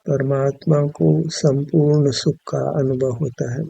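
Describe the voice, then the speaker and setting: A man leading a guided meditation with spiritual teaching, speaking in measured, formal, instructive phrases. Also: medium pitch, steady tone, gravelly, warm tone, no room sound, muffled recording.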